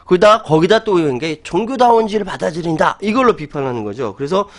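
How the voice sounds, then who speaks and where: A middle-aged man hosts a talk show, arguing his opinions in an animated way and laughing often. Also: high voice, quick pace. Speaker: host.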